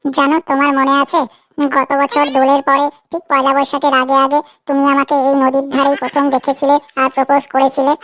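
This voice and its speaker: A woman's voice: high voice, monotone, brisk, a bit bright, dry recording. A woman voicing a coy, demanding young girlfriend in a Bengali comedy cartoon, whiny and pleading, often repeating herself.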